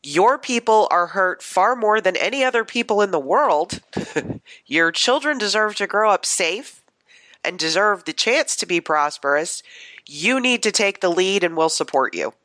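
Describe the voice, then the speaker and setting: A woman's voice: medium pitch, animated, unhurried, fairly bright tone, no room sound. A woman co-hosting a podcast while she has a head cold, talking in a dry, matter-of-fact way. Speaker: podcast co-host. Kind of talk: casual political chat.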